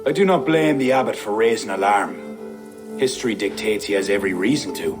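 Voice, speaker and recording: An actor playing a composed, authoritative Irish High King, speaking formally and with measure. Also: lively intonation, brisk, close to an everyday voice. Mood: resolute. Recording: music under dialogue.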